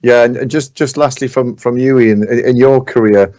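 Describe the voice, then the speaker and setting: A man's voice: medium pitch, steady tone, moderate pace, mellow, muffled recording. A man hosting a podcast, putting questions to guests in a conversational way. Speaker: podcast host. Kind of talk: conversational interviewing.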